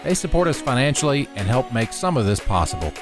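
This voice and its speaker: A man's voice: mid-range voice, sing-song, fast talker, music in the background. A man delivering a scripted thank-you voice-over.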